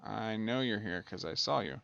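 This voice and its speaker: A man's voice: fairly low pitch, normal speed, vocal fry, fairly bright tone, lo-fi sound. A man giving casual let's play commentary as a gaming host, thinking aloud and muttering to himself.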